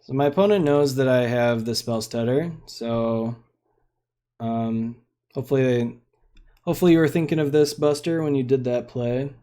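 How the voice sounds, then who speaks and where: A man's voice: medium pitch, moderate pace, mellow. A young man giving casual, rambling commentary on a card game he is streaming. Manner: laid-back, rambling, lots of fillers.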